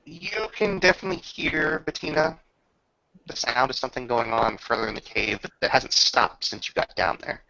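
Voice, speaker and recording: A man's voice: medium pitch, normal speed, vocal fry, sharp tone, dry recording, lo-fi sound. A man improvising descriptive narration as game master of a tabletop roleplaying session.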